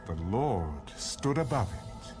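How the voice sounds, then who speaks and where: A man with a low, very expressive voice narrates scripture like a storyteller. His delivery is measured and scripted, with music behind him.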